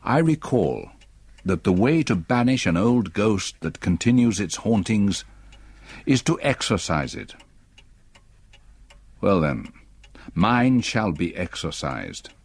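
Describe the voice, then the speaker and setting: An actor playing a weary, haunted older British gentleman, grave and deliberate, in a radio drama. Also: low-pitched, breathy edge, dry recording, full character voice. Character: haunted, weary old retired solicitor, tender with wife. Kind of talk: radio drama acting and narration.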